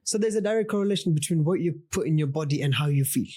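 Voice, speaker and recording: A man's voice: on the high side, rapid-fire, mellow. A man hosting a podcast, mostly giving short affirmations and asking follow-up questions. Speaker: podcast host. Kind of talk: conversational interview.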